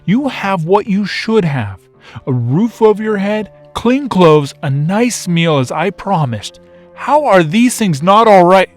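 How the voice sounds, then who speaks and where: Man playing a formal, higher-pitched protective guardian. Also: sing-song, takes it slowly, soft background music, exaggerated voice. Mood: indignant. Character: protective, formal male master sheltering orphaned boys.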